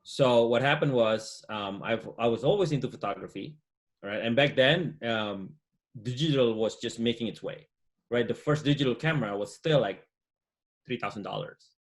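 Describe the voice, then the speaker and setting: A man's voice: medium pitch, normal speed. Man in his forties, easygoing guest on a webcam call, speaks with many fillers. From Indonesia. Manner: relaxed, many fillers and "you know". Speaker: guest entrepreneur. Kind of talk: casual interview chat. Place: home room, indoors. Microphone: video call webcam.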